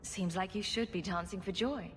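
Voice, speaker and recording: A woman's voice: medium pitch, moderate pace, slight background noise, lightly acted. A woman playing a witty, sarcastic female rogue adventurer in a video game, with a playful delivery. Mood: wry. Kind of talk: dramatic video game acting.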